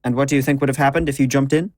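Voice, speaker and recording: A man's voice: medium pitch, steady tone, racing, a little mellow, dry recording, natural voice. Man playing a dry, seasoned sword instructor. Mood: firm, instructive. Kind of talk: audio drama acting.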